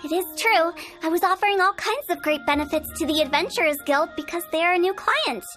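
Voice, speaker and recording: A woman voicing a mischievous young female funeral parlor director in a game, playful and teasing, with spooky jokes and a salesy pitch. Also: animated, fairly quick, fairly bright tone. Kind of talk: video game voice acting. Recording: game audio with faint music. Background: faint game music.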